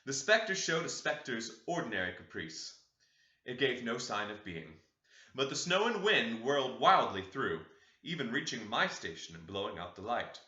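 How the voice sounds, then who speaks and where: Brief: male audiobook narrator, bright, forceful delivery, dull lo-fi recording. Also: medium pitch, normal speed, echoey room. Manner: expressive, dramatic reading. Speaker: audiobook reader. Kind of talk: audiobook narration of a classic novel.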